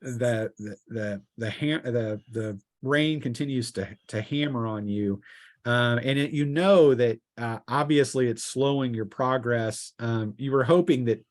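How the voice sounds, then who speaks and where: Halting man running a tabletop game. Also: mid-range voice. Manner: halting, restarting descriptions mid-sentence. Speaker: game master. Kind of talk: improvised tabletop roleplaying narration.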